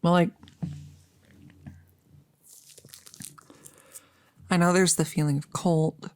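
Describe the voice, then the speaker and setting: A woman's voice: on the low side, steady tone, fairly quick, a little mellow, clear, resonant tone, no room sound, clean studio sound, lightly acted. A woman playing a chatty, upbeat girlfriend in an intimate ASMR roleplay, rambling casually and musing with hesitations. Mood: musing, hopeful. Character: chatty, upbeat girlfriend about to become a vampire.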